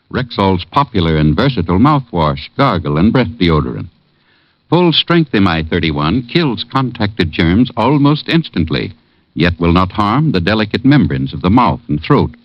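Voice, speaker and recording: A man's voice: sits low, very animated, normal speed, dry recording. An American man reading a scripted druggist's commercial on a 1950s radio show. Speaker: commercial spokesman as family druggist. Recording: dull lo-fi old radio recording.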